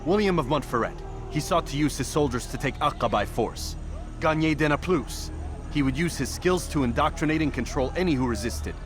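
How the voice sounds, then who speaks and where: An actor playing a stern, proud young assassin in a video game, terse and resolute. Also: male voice, on the high side, lively intonation, fairly quick, over music, natural voice. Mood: earnest, firm. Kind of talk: video game cutscene acting.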